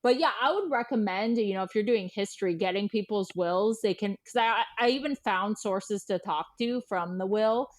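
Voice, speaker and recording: An American woman chatting informally as an interview guest, animated and peppering her talk with "like" and quoted dialogue. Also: medium pitch, moderate pace, hardly any pauses. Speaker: podcast guest, journalist and historian. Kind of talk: casual interview chat.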